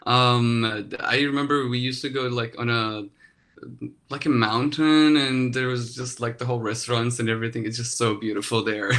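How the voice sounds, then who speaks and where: A man from Iran, a product designer, answering interview questions on a video call, expressive and quick to laugh. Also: mid-range voice. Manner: laughs often, expressive and energetic.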